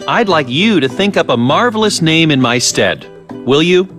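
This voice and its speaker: Video game research director, male: measured, condescending, slyly menacing, very expressive swings in pitch, faint music behind. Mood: musing, pleased. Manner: measured, condescending, sly politeness. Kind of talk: English video game voice acting.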